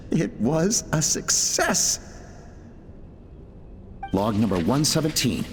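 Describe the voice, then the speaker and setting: A driven, idealistic inventor dictating research logs for a game. His mid-range voice moves expressively, earnest and measured, washed in long reverb with faint noise. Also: mid-range voice, a bit bright. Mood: clinical, satisfied. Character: earnest, driven male inventor dreaming of flight. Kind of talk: dramatic recorded research log in a video game dub.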